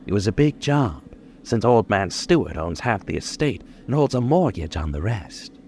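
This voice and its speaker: A man's voice: on the low side, big pitch swings, darkish tone, slight background noise. A man playing a boastful, menacing young killer in an old-time radio crime drama, dwelling on his words. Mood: bitter, narrating. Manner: menacing, gloating, dwelling slowly on details. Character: boastful, unhinged young male killer holding police at gunpoint.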